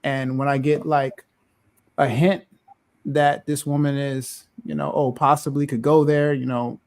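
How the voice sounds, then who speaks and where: A man with a deep, loud voice, co-hosting a casual panel podcast and speaking in a measured, halting way with many fillers. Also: flat delivery. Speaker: podcast co-host.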